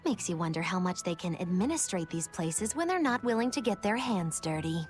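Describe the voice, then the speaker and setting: A woman's voice: fairly low pitch, sing-song, fairly quick, soft background music. An actress playing a flippant, teasing woman with a sardonic delivery in a video game.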